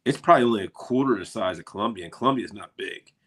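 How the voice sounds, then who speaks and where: Lower-pitched American man, rambling storyteller. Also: fast, a bit bright. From eastern United States. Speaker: paranormal investigator and show cast member. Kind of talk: casual chat.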